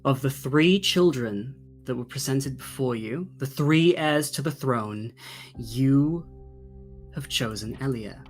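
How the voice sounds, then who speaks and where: A man narrating a tabletop game as game master, dramatic and deliberate, with long pauses. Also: mid-range voice, soft background music.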